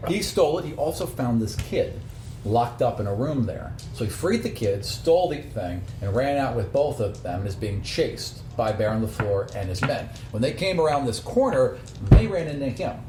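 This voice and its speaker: A mid-pitched man runs a tabletop game, explaining things casually to the players. The room is echoey, with clear background noise. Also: sing-song, moderate pace, mellow. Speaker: game master.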